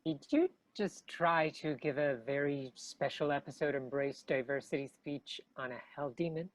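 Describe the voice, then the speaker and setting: An actress playing a dry, incredulous friend in a comedy table read. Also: female voice, deep voice, moderate pace, natural voice, low-quality recording.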